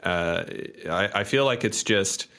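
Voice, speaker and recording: A man's voice: fairly low pitch, animated, a little slow, pushed and bright. A man telling improvised story as a tabletop game player, often repeating and restarting his phrases.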